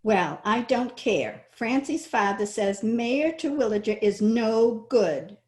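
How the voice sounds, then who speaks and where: An earnest, pert teenage niece acted by a woman in radio comedy, recorded in an echoey room. Her fairly high voice rises and falls animatedly. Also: animated, slow pace. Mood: indignant. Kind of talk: old-time radio comedy acting. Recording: roomy remote home recording.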